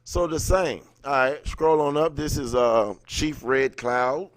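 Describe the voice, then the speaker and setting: A man's voice: mid-range voice, steady tone, slow, no room sound. A man with a mid-range voice hosts a livestream in a dry, close-sounding space. He reads written text aloud and breaks in with blunt, casual commentary in everyday colloquial speech. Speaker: livestream host.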